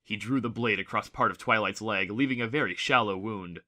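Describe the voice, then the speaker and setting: A man's voice: on the low side, a little fast, pushed and bright. A man narrating a fantasy story from a script in a dramatic audiobook style.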